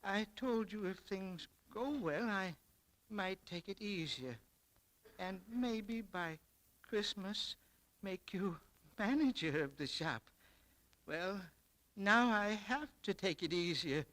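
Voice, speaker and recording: An actor playing an ailing, remorseful older shop owner in a 1940s radio drama, speaking haltingly and wearily. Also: male voice, sits high, animated, a bit bright, full character voice. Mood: weary, grateful. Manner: halting, weary delivery. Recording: vintage 1940s radio broadcast.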